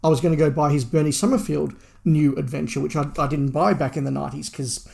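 A man's voice: fairly high pitch, steady tone, fast talker, vocal fry, darkish tone. A man co-hosting a podcast in a casual fan discussion, rambling and thinking aloud. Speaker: podcast co-host.

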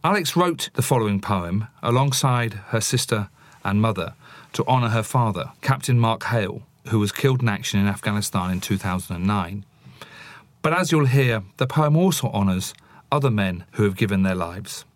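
A man with a fairly low voice hosts a podcast. He presents and interviews in a measured hosting style. The sound is dry, with no room around it. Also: expressive, no echo.

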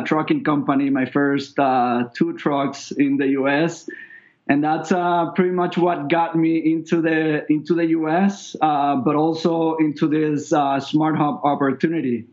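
Male founder as interview guest, Venezuelan Spanish accent, slightly high voice, explanatory, dullish lo-fi sound.

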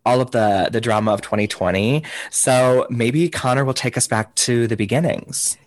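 A man's voice: mid-range voice, normal speed, no echo. A man co-hosting a podcast, enthusiastic and gushing, laughing often. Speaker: podcast co-host. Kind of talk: casual podcast chat.

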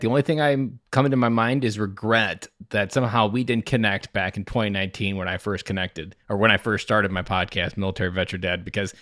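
A man's voice: on the low side, fairly bright tone, no room sound, clean studio sound. Earnest man hosting a podcast. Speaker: podcast host. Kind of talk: casual podcast conversation.

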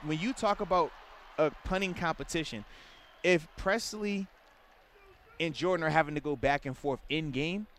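A man co-hosting a casual sports talk show, breaking down football plays in a relaxed way and dropping "man" often. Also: on the high side, normal speed. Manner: relaxed, explains with repeated "man". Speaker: sports show co-host and analyst.

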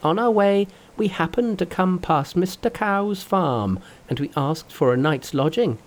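A narrator voicing a boastful, fearless male monkey king in a storybook reading, loud and mocking. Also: mid-range voice, animated, unhurried, voice pushed up, natural voice.

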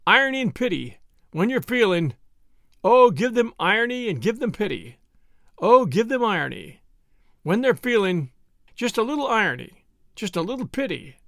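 A man's voice: high-pitched, sing-song, gravelly, fairly bright tone, no echo, studio quality, voice pushed up, exaggerated voice. A man voicing a teasing, exuberant male friend in an audiobook, needling in a mock-grandiose way. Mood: singing, jaunty. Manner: mock-grandiose, needling, rapid-fire exclamations. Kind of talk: audiobook character dialogue.

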